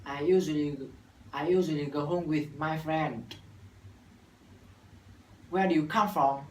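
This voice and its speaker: A nineteen-year-old university student from Vietnam with a Vietnamese accent, reciting an English practice dialogue in a careful, halting way with restarts. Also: male voice, fairly high pitch, steady tone. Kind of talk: scripted classroom dialogue practice. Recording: echoey room from far off, faint noise.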